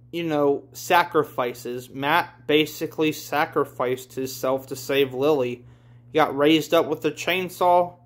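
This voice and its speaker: A man hosting a casual read-along of his own story, talking in a blunt, offhand, rambling way. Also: a little high. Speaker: host reading his own manga aloud.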